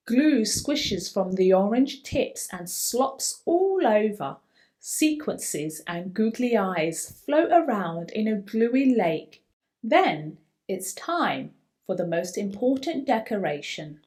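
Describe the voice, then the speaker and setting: A woman reading a children's picture book aloud with theatrical emphasis and drawn-out words. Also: on the high side, darkish tone. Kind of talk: expressive picture-book read-aloud, voicing a young boy's first-person story.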